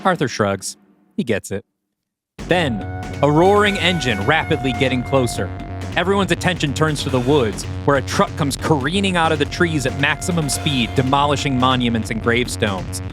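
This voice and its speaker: A man's voice: mid-range voice, expressive, moderate pace. Man narrating an audio drama over music. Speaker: narrator reading stage directions.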